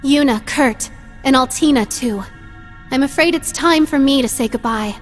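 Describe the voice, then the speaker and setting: Genteel young lady for fantasy game female actor, highish voice, poised and gently theatrical, scored with music. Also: moderate pace, close to an everyday voice. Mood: composed, wistful. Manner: formal, composed, gently theatrical. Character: poised, refined young lady with a secret role. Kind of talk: dramatic video game acting.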